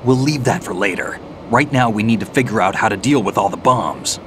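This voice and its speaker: A man's voice: lowish voice, expressive, fairly quick, noisy background, close to an everyday voice. An actor playing a seasoned male soldier who talks in a dry, laconic way in a game cutscene. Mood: dry gruff. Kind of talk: video game cutscene dialogue.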